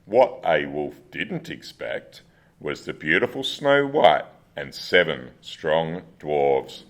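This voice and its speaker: A man's voice: very deep voice, big pitch swings, takes it slowly. A man narrating a scripted children's fairy-tale radio play.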